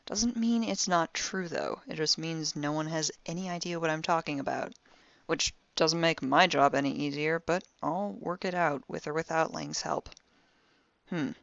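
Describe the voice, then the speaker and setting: An actress plays a wry, self-styled young woman detective in an audio drama. Her voice sits fairly low, and she talks conversationally with theatrical dryness. The recording is very dry and slightly dull. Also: a bit bright, no room sound. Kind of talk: audio drama monologue as a spoken log.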